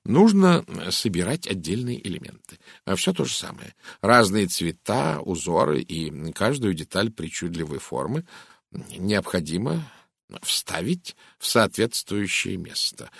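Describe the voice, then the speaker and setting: A man voicing a polite, methodical little Belgian detective in an audiobook, courteous, measured and deliberate. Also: low-pitched, very animated, vocal fry, fairly bright tone, breathy edge, no echo, studio quality, exaggerated voice. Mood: methodical, explaining. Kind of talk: audiobook character voice.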